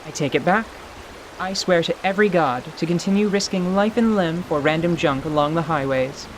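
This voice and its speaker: A sardonic, steady adventuring woman played by a man speaks in measured, formal phrases. The voice sits fairly high for a fantasy radio drama, with clear rainy ambience behind it. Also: a little hiss or hum, close to an everyday voice. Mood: wry. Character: dry, world-weary adventuring woman, sardonic but steady. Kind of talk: fantasy radio theatre acting. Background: rain and outdoor ambience.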